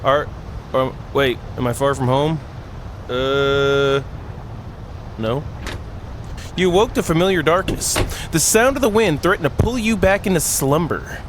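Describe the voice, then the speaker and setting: A man's voice: on the high side, measured pace, pauses often, a little hiss or hum. A man hosting a gaming video, reading aloud and breaking off for playful, jokey commentary. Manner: self-interrupting, jokey, animated.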